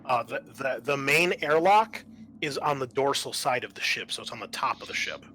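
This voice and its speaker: A man acting as game master, explaining a tabletop roleplaying game in a casual, halting way. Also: mid-range voice, animated, sharp tone, slight background noise.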